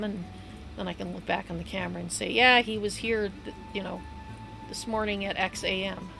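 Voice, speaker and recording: A woman livestreaming a game, chatting in a loose, rambling way with a hoarse voice and easy laughs. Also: mid-range voice, poor audio. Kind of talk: casual rambling chat while gaming. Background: upbeat game music.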